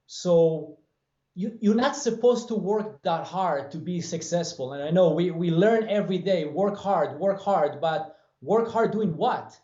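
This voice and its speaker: A man's voice: high-pitched, dark tone. A man in his forties with an Israeli accent, speaking earnestly and motivationally as an interview guest over a video call from a bare room. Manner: earnest, motivational, gestures with hands. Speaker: guest, franchise business owner. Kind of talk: interview answers. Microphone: webcam on video call. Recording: roomy dull video-call audio.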